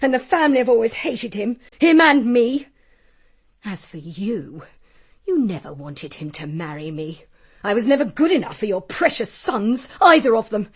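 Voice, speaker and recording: An actress playing a spoiled, haughty young wife in a radio drama, husky, indignant and biting. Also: female voice, on the high side, sing-song, normal speed. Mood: indignant, spiteful.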